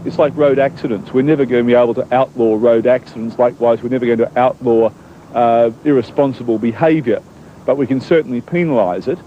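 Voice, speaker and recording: A man's voice: mid-range voice, brisk, muffled recording, a little hiss or hum. Emphatic man in a news clip.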